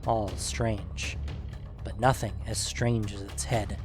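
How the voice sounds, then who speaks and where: A man narrating a scripted fantasy story in a measured, suspenseful, dramatic audiobook manner. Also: fairly low pitch, steady tone, darkish tone, faint music behind.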